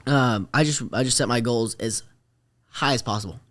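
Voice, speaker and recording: A man's voice: medium pitch, normal speed. Easygoing young man in casual chat. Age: young adult. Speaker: podcast guest, rodeo rider.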